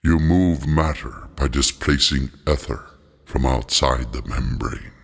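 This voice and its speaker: Man voicing a very deep, gravelly ancient being. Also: takes it slowly, exaggerated voice. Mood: solemn, instructive. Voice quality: gravelly, husky. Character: ancient otherworldly being lecturing a human, solemn and cryptic. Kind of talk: audiobook character voice.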